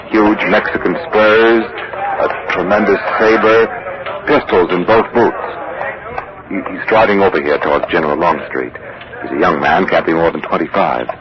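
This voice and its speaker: An actor playing an earnest war correspondent, reporting live in a formal 1940s radio news style. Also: male voice, low voice, close to an everyday voice. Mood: excited, watchful. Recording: muffled, very noisy old radio recording.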